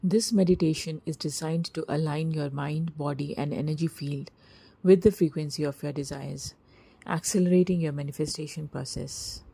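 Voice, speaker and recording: A woman narrating a scripted guided meditation in a soft, breathy, husky voice, with a slow, soothing, hushed delivery and long pauses. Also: low voice, steady tone.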